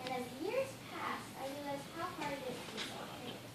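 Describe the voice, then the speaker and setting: Casting: intense, earnest woman with murderous ambition played by a young stage actress, high voice, distant and echoey. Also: expressive, fast talker. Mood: earnest, confessional. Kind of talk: amateur stage play acting. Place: theatre stage indoors. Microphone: distant audience camera. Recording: echoey stage from afar, noisy.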